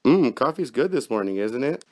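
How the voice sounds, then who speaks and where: An excitable, whiny husband in a horror comic melodrama, acted by a man. His voice is pushed up from a low natural register and sounds hapless and nervous. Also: moderate pace, natural voice. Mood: falsely casual.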